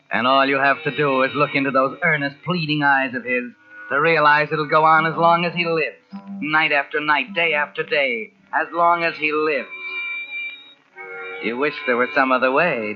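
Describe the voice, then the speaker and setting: An actor playing an ominous, knowing narrator of an old-time radio mystery, insinuating and deliberate. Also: male voice, a little high, faint music behind, lightly acted. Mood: knowing, sinister. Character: ominous, knowing male narrator speaking as a conscience. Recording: dull old radio recording. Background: musical instrument playing under the voice.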